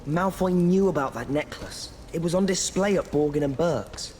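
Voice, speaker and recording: An actor playing an earnest, loyal teenage schoolboy hero in a video game. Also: male voice, on the high side, moderate pace, darkish tone, slight background noise, natural voice. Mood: confident.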